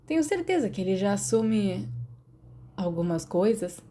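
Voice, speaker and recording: Woman voicing a cheeky, lazy teenage boy, mellow. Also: mid-range voice, very animated, normal speed, natural voice. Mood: teasing, amused. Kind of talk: audiobook character dialogue.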